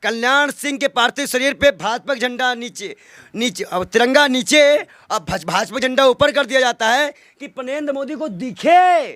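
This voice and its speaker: A man's voice: very high-pitched, moderate pace, a bit bright, no echo. A man giving an impassioned political interview, arguing indignantly with rhetorical questions and emphatic repetition.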